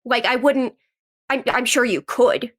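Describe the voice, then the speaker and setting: A woman's voice: a little high, a little slow, a bit bright. A woman speaking as an interview guest in a conversational, earnest and explanatory way. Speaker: podcast guest, actress and writer.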